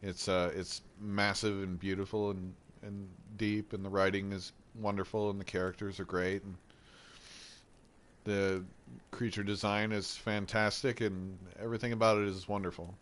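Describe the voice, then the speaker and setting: A man's voice: fairly low pitch, flat delivery, moderate pace, a bit bright. A young man with a head cold, chatting casually and swearing as he introduces a game playthrough. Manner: laid-back, rambling, swears casually. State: stuffy, has a head cold. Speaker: gameplay streamer. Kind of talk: casual gaming intro chat.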